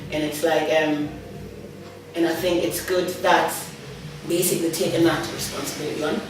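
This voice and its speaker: A woman's voice: low-pitched, flat delivery, moderate pace, a little hiss or hum. A woman youth worker, reflecting in a loose interview with many fillers. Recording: echoey room, mic at a distance.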